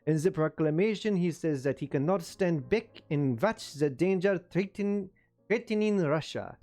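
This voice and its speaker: A man's voice: on the high side, lively intonation, unhurried, a little mellow. A man voicing a stout, pompous German colonel in a novel reading, with a German accent and an emphatic, pedantic delivery. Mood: indignant, insistent.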